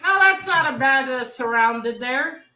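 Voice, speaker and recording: A woman's voice: high-pitched, animated, unhurried, bright, forceful tone, soft background music. A woman chatting playfully as a panelist in a casual group talk. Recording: echoey room.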